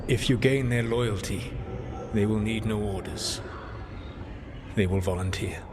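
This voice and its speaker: An actor playing an ancient elven rebel leader in a video game, a grave and calculating mentor who speaks in measured, formal counsel. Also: male voice, lowish voice, background noise, close to an everyday voice. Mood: counselling, solemn. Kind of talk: dramatic video game dialogue.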